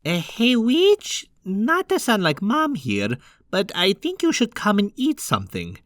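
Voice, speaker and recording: A man playing a reassuring, easygoing older-brother plumber in an animated comic dub, talking casually with a teasing streak. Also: high-pitched, a little slow, no echo, clean studio sound, full character voice. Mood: gently concerned.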